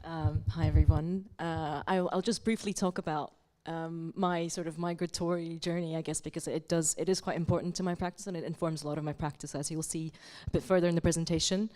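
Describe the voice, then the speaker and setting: Lower-pitched young woman, casual guest speaker. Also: fairly even intonation, fairly quick, hardly any pauses, a little mellow. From Uzbekistan, Taiwan and Moscow. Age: around thirty. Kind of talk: informal autobiographical talk to an audience.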